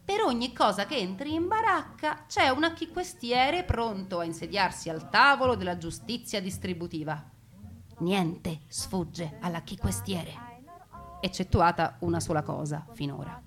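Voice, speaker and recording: A woman delivering theatrical scripted narration in a deliberate, emphatic, mock-solemn manner, like a stage storyteller. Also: medium pitch, animated, fairly bright tone.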